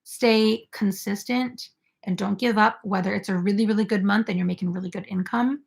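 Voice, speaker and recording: Chatty young woman with heavy vocal fry. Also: mid-range voice, steady tone, normal speed. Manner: chatty, filler-heavy, reads viewer comments aloud. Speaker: crochet YouTuber hosting a live stream. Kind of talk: casual live-stream chat.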